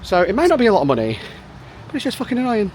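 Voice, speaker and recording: A man's voice: high-pitched, huge pitch swings, rapid-fire, slight background noise. A man from Manchester, a business founder chatting casually to camera in a rambling way, full of "um" and "like". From Manchester, England. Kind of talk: casual vlog chat.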